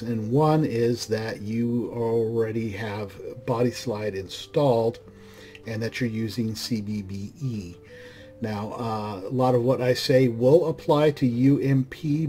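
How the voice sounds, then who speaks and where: Hoarse man giving a software walkthrough. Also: medium pitch. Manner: loose, conversational, with frequent "uh" and restarts. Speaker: mod review channel host. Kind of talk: screen-recorded software tutorial.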